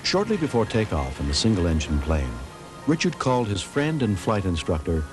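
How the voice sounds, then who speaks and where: A man's voice: fairly low pitch, big pitch swings, moderate pace. A man narrating a documentary in a scripted, dramatic style. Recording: music behind the voice. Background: music.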